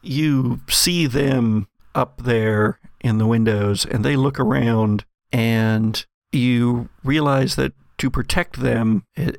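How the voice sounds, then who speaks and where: A game master narrating a tabletop adventure in a dramatic, deliberate storytelling manner. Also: medium pitch, gravelly, no room sound.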